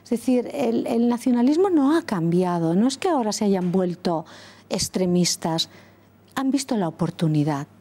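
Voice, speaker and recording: A middle-aged woman from Catalonia, a former school principal, answering interview questions earnestly and deliberately, pausing and correcting herself often. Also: medium pitch, animated, warm tone. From Catalonia, Spain. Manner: deliberate, with frequent pauses and self-corrections.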